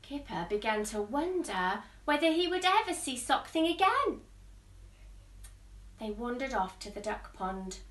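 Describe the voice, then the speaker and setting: Young female storyteller for children, bright and sing-song, speaking from a distance in an echoey room. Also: fairly high pitch, a little slow. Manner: expressive, sing-song, addressing young listeners. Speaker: teacher reading a storybook to children. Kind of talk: animated children's storybook reading with asides. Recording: roomy echo, distant microphone.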